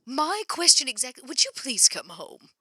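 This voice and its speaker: A woman playing an exasperated, sarcastic vampire businesswoman in an improvised roleplay, with a halting, dry delivery. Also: high-pitched, very animated, bright, forceful tone, breathy edge, no room sound, full character voice. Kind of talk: improvised tabletop roleplay, phone conversation.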